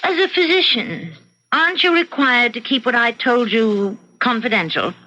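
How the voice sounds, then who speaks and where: An actress playing a cool, imperious, calculating wealthy wife in an old-time radio mystery. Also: female voice, fairly high pitch, lively intonation, normal speed, pushed and bright. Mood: cool, knowing. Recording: dull lo-fi radio drama, faint noise.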